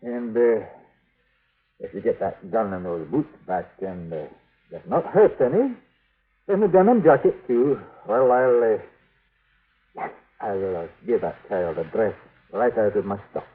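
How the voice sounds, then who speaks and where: An actor playing a crusty, flustered storekeeper with a soft heart, sputtering indignantly in an old-time radio western. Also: male voice, on the low side, expressive, measured pace, long pauses, husky. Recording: narrow-band vintage radio recording.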